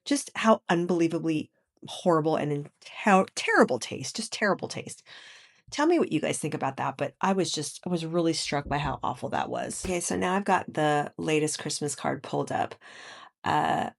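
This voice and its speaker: A woman with a very low voice talks straight into a microphone while lying in bed at home. Her throat is sore, so her voice comes out scratchy and froggy. She chats casually with her viewers, turning indignant as she vents. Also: expressive, normal speed, creaky. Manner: chatty and indignant. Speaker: channel host. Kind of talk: casual commentary vlog. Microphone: microphone with laptop.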